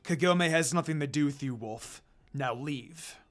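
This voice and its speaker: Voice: mid-range voice, measured pace, faint music behind, lightly acted. A reader voicing a cold, curt and aloof male dog demon in a story.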